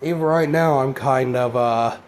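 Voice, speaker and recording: Hoarse man rambling about plants. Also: mid-range voice, measured pace, a little hiss or hum. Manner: rambling, easygoing. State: hoarse from a cold. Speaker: plant vlogger. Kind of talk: casual plant vlog.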